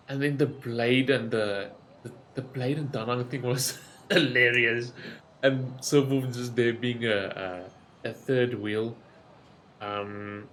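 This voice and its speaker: A man's voice: medium pitch, fairly bright tone. A young man hosting a gaming channel, giving casual reaction commentary and rambling with many fillers.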